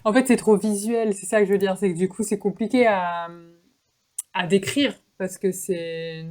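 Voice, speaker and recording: A woman chatting casually as a podcast panelist, halting as she searches for words. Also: mid-range voice, a little mellow. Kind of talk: casual chat.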